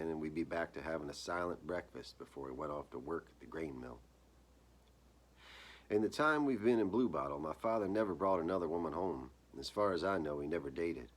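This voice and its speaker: A man with an exceptionally deep voice reads his fiction aloud. The tone is husky and rough-edged, and he phrases things deliberately, like an author presenting his own work. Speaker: author reading own short story. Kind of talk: dramatic live reading of literary horror fiction.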